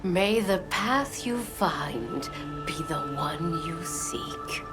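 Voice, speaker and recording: Calm wise elder lady, game cutscene blessing tone, real slow, music underneath. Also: on the low side. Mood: solemn. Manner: solemn, measured. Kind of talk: dramatic video game dialogue.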